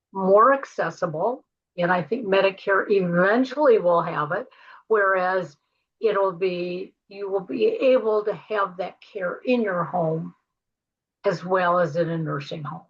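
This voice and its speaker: An older American woman speaks as a podcast host over slightly dull, band-limited audio. Her voice sits at a medium pitch. She explains things in a measured, conversational way, addressing listeners with practical advice. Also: breathy edge.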